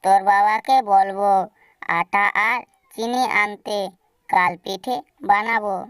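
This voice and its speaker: A woman voicing a caring, indulgent mother bird in a family cartoon, with a gentle manner. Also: mid-range voice, fairly even intonation, takes it slowly, clear, resonant tone, no echo, clean studio sound, distant mic. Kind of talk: scripted cartoon voice acting.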